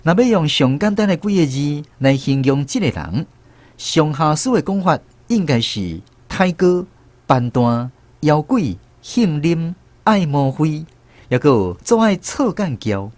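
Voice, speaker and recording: An actor playing a former army conscript who narrates his memories in a radio drama, with a Taiwanese accent and an expressive storytelling manner. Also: on the high side, measured pace, no echo. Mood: reflective storytelling.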